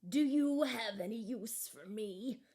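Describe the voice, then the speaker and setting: A woman's voice: on the high side, expressive, takes it slowly, warm tone. A woman voicing an earnest, polite talking lump of coal with hopes and sorrows, for a children's storybook. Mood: hopeful, eager.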